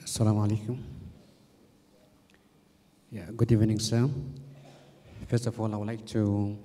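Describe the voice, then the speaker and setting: This is a man from Cameroon with a very dark, mellow voice, asking a question from the floor of a lecture. He is earnest and formally polite, and his pitch sits a little low. A long reverb from the big hall hangs on his words. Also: little pitch movement, moderate pace, slight background noise. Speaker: audience member asking a question.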